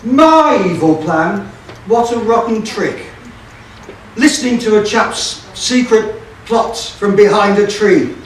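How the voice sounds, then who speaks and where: Man playing a high-voiced, pompous pantomime villain sheriff, echoey. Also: lively intonation, slow. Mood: peeved. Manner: pompous, gloating, theatrical. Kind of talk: pantomime stage acting. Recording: echoey hall, distant mic, faint noise.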